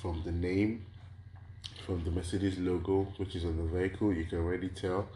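A man from Nigeria giving an explanatory lecture, his voice a little hoarse from a cold, asking rhetorical questions and stringing examples into lists. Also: deep voice, level tone, normal speed, dark tone, a little hiss or hum. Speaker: lecturer on intellectual property.

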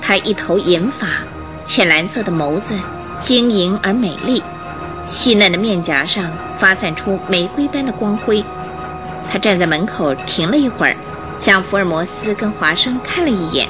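Very animated woman narrating radio drama. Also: mid-range voice, moderate pace. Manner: dramatic storytelling with suspenseful questions. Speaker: narrator and main storyteller. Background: music.

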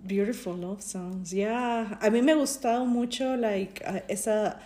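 A middle-aged woman from Peru co-hosting a podcast, chatting excitedly and mixing English with Spanish. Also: medium pitch, unhurried. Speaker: podcast co-host. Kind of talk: bilingual casual chat.

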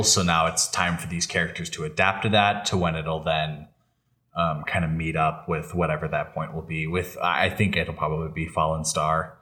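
Male co-host, deep register, informal podcast discussion, halting and repetitive phrasing. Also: pushed and bright. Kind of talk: casual chat.

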